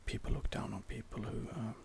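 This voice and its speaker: A man whispering softly and hesitantly as he muses through gameplay commentary for ASMR, close to the microphone. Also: lowish voice, brisk, gravelly, slight background noise. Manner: soft, hesitant, musing. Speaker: ASMR gamer.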